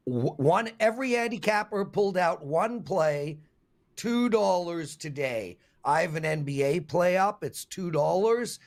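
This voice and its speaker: A man from South Africa with a South African accent, hosting a sports betting show in casual, lively chat. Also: high-pitched, unhurried.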